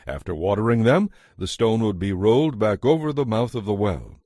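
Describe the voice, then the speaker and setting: A man narrating scripture as a dramatized story, in an expressive, measured way. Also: on the low side, no echo, clean studio sound.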